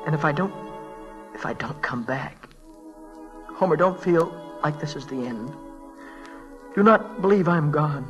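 An American man playing an earnest, grieving teenage boy in a 1940s radio drama, speaking haltingly and with emotion. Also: a little high, expressive, fairly bright tone, highs cut off. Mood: solemn, emotional. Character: earnest grieving teenage boy reading his soldier brother's letter. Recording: vintage 1940s radio broadcast, music behind.

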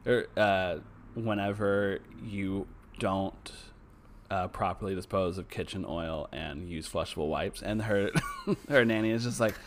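A man co-hosting a podcast, chatting in a campy, enthusiastic way with quick asides. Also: fairly low pitch, slight background noise. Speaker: podcast co-host. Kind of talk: casual chat.

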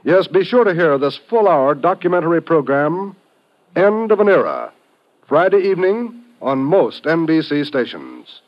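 A man's voice: fairly high pitch, very animated, normal speed. A man announcing in scripted 1950s network radio style, formal and emphatic. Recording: dull old radio broadcast recording.

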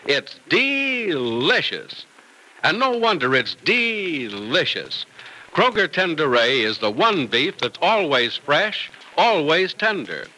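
A man's voice: fairly high pitch, sing-song, slow pace, bright, forceful tone, slight background noise, rough recording. A man announcing on old-time radio in an emphatic, polished style, on an old radio recording. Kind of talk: mid-century radio advertising and serial narration.

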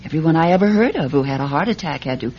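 A fussing, meek wife, lo-fi. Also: female voice, animated, rapid-fire, warm tone. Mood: concerned, pious. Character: solicitous, pious, meek middle-aged wife. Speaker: actor. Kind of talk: radio drama acting. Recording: dull lo-fi recording with low rumble.

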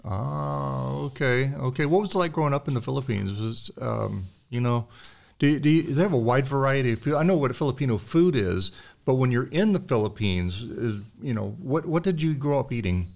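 A man's voice: medium pitch, expressive, brisk, creaky, darkish tone. Friendly chatty guy cohosting a food podcast, stumbles and repeats himself. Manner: chatty, laughs often, repeats words. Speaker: podcast co-host. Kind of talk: casual interview chat.

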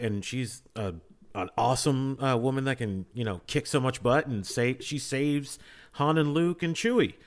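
A man talking casually and earnestly as a podcast panelist, rambling emphatically as he makes his points. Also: on the low side. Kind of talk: casual fan discussion.

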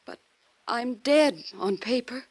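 Casting: stubborn runaway heiress in her twenties, slightly high voice, earnest and hurt, old radio melodrama. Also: expressive, takes it slowly. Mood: bewildered. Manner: earnest, hesitant, emotional. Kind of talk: classic radio drama acting.

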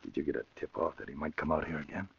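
A well-dressed racketeer from an old radio crime serial. His voice is extremely deep and full of gravel, and he talks in a clipped, smug, wary tough-guy way. The recording is muffled and dated. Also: very fast, heavily acted. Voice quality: gravelly, husky low voice. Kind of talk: 1940s radio crime drama acting.

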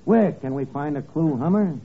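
Man as a mock-serious, high-voiced radio detective narrator. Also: expressive, moderate pace, lightly acted. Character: earnest male detective sidekick narrating a case. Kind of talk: narration in 1950s radio comedy spoof. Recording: crackly old radio broadcast, narrow band, studio audience.

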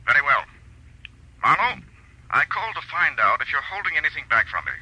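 A man acting a rich older husband in an old-time radio drama, formal and curt but hesitant, with a raspy voice. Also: on the high side, sing-song, a little fast, bright, forceful tone, dry recording, heavily acted, poor audio. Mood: curt, probing.

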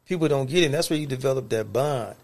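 A man's voice: medium pitch, fast. A young adult man co-hosting a casual podcast, laid-back and laughing often as he reminisces. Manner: laid-back, laughs often, says "you know what I mean". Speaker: podcast co-host.

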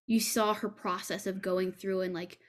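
A woman's voice: medium pitch, level tone, normal speed. A young woman co-hosting a podcast in casual chat, rambling and filling her sentences with "like". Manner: rambling, heavy use of "like". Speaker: podcast co-host.

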